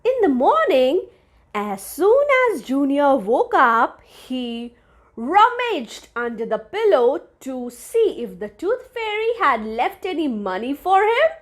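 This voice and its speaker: A woman reading a children's story aloud in an expressive, deliberate teacherly manner. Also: high voice. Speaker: storybook reader for young children.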